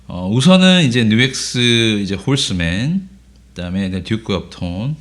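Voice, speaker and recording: A man with a hoarse voice from a cold, casually explaining guitar pedals in a relaxed way, with frequent filler sounds. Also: on the low side, moderate pace. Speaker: guitar teacher. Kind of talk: casual gear demo lesson.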